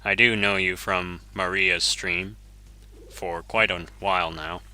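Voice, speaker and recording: Male game streamer, Japanese first language; deep, very bright and forceful tone, relaxed self-correcting chatter over faint game music. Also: takes it slowly, clear, resonant tone. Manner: easygoing, chuckles often, self-correcting. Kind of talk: casual gameplay commentary, reading chat.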